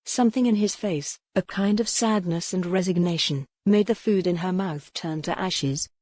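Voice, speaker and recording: A woman's voice: lowish voice, moderate pace, darkish tone, no room sound. A woman reading scripted fiction as a dramatic audiobook narrator.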